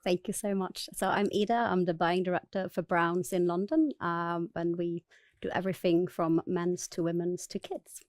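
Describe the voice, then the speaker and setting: A woman's voice: fairly low pitch, level tone, moderate pace, no room sound, studio quality. A woman from Sweden speaking as a retail buyer on a panel, enthusiastic and chatty with many fillers. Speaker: buying director, panelist. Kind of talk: panel discussion.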